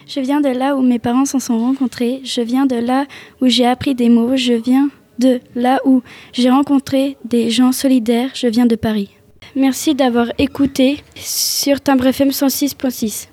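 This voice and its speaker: Teen girl presenter, high mellow voice, husky edge, dry close sound. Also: flat delivery, unhurried, no echo. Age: teens. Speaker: student radio presenter. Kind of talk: reading a school radio show script.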